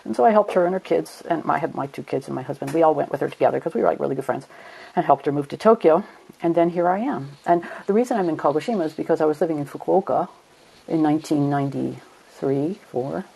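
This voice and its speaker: Middle-aged woman tour leader, husky low voice, rambling chatty anecdotes. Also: lively intonation, fast, warm tone, poor audio. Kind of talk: casual storytelling.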